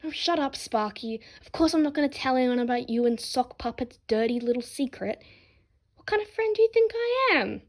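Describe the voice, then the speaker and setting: Woman voicing a brash, loud, sarcastic young earthbender girl. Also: high voice, lively intonation, fairly quick, pitched above the performer's own voice. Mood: teasing. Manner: mocking, blunt, threatening.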